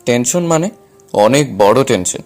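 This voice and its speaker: Audio drama younger brother, male actor: flustered, cheeky, timid young man, mid-pitched, music underneath. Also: lively intonation, a little slow, a little mellow, natural voice. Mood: uneasy. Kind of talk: acted audio story dialogue.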